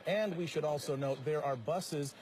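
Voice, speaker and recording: A man's voice: fairly high pitch, moderate pace, darkish tone. An American man anchoring live breaking news, commenting on events as they unfold. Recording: clearly noisy live feed, vehicle drone.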